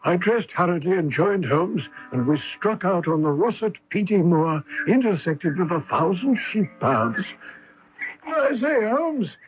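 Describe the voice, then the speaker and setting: An actor playing a loyal, naive English gentleman doctor in a radio mystery, with an earnest, slightly bumbling manner. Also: high-pitched, big pitch swings, unhurried, soft background music. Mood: earnest narrating. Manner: hesitant, stammering, easily amazed. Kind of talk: 1980s radio mystery acting. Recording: dull AM radio broadcast tape.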